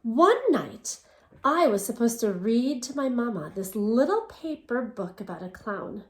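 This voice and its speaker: Bright-voiced teacher reading aloud. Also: female voice, on the high side, a little slow. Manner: expressive storytelling, asks children questions; alternates English and Spanish readings. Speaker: elementary school teacher. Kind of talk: bilingual picture-book read-aloud. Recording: echoey room.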